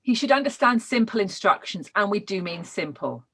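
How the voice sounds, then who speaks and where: A woman's voice: mid-range voice, expressive, moderate pace, a bit bright, husky. A woman with a British accent teaching child development in an informal lesson, explaining points and checking in with her learners.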